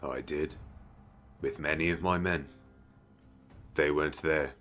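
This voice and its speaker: A man playing a haughty, gruff fantasy village mayor who talks in a formal, condescending way in an audio drama. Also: extremely low pitch, steady tone, measured pace, a bit bright, a little hiss or hum. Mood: irritated, defensive. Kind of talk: fantasy audio roleplay acting.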